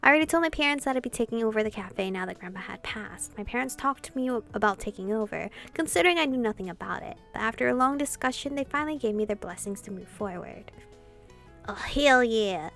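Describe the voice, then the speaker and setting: A woman doing casual, expressive gameplay commentary, reading visual novel dialogue aloud and adding sweary asides. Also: fairly high pitch, fast, creaky. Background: game music.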